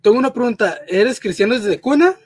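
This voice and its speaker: High-voiced man asking short questions. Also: lively intonation, fairly quick, darkish tone, slightly rough, dry recording. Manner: brief, friendly questions. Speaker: interviewer. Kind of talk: casual interview over video call. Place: home room indoors.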